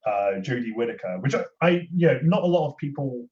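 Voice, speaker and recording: A man chatting casually on a podcast in a hesitant, wordy, measured way. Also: medium pitch, expressive, dark tone. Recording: dull, lo-fi audio.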